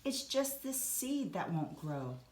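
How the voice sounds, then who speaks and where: A woman's voice: lowish voice, very animated, slow pace, a little mellow. Lady reading as a earnest little storybook boy, kinda pleading, roomy echo. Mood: earnest, defensive. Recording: roomy echo, some background noise.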